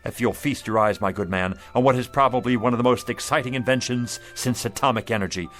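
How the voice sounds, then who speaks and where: Actor as a flowery, persuasive street vendor, music behind. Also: male voice, medium pitch, fast, fairly bright tone, close to an everyday voice. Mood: grand sales patter. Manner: flowery, patter-filled salesman delivery. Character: down-on-his-luck male street vendor, fast-talking, persuasive pitchman. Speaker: radio drama actor. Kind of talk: radio drama acting. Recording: music under the dialogue.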